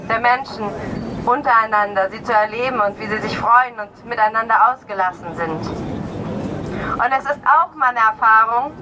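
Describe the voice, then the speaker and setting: A middle-aged woman speaking earnestly and deliberately as an activist, delivering a prepared speech at an outdoor rally. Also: on the high side. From Cologne area, Germany. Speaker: climate activist giving a speech. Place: outdoors in an urban area. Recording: clear outdoor street and traffic noise.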